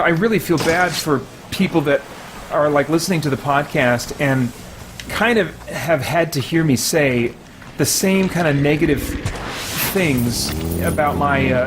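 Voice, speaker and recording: A man's voice: mid-range voice, background noise. A middle-aged man hosting in casual chat, with a wry, informal, complaining way of talking. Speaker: host. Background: music.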